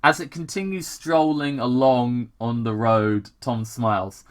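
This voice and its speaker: A man's voice: mid-range voice, fairly even intonation, measured pace, smooth. A man casually reading fan fiction aloud with commentary, stumbling over words, repeating himself and swearing in asides.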